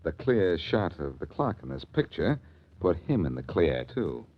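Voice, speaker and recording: An actor playing a cool, confident, wry amateur sleuth in a 1940s radio drama. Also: male voice, deep voice, big pitch swings, measured pace, background noise. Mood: keen, assured. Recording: muffled old radio broadcast.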